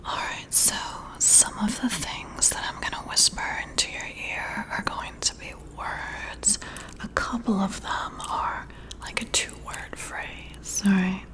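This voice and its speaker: A woman whispering breathily up close to the mic in an ASMR role-play, giving soothing, gentle and deliberate instructions. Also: mid-range voice, steady tone, rough recording. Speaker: ASMR creator role-playing a boss giving a personality test. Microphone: close-up ear-to-ear microphone.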